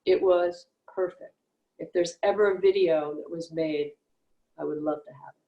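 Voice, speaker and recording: A woman's voice: low-pitched, steady tone, normal speed. Woman in a roomy, dull recording. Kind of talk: casual chat. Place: remote video call. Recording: roomy, dull video call audio.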